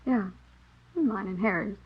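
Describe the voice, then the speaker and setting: A woman's voice: medium pitch, a little slow, husky. An actress playing the self-serving girlfriend of a crook, indignant and evasive, in a 1950s radio crime drama. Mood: wary, defensive. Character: defensive, self-serving kept woman of a crook. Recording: dull old radio recording.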